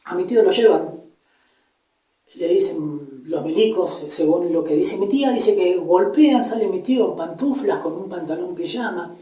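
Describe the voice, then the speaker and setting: Mellow-voiced Argentine man, echoey distant recording. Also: sits high, lively intonation. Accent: Argentine, Buenos Aires area. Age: 50s. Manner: halting, reflective, pauses to search for words. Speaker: interviewee giving personal testimony. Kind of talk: personal storytelling, unscripted recollection. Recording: echoey room, distant microphone.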